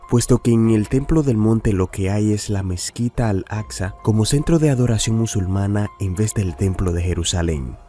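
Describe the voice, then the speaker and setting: Low, dark-voiced male narrator. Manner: measured, even reading of a religious news report. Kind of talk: scripted narration.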